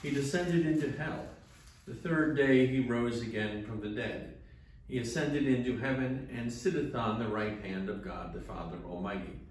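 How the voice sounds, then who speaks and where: A man's voice: mid-range voice, normal speed. An older man, a rector, leading morning prayer and reading steadily from a book in a small church chapel, heard from a camera some distance away. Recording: very echoey room, voice from afar.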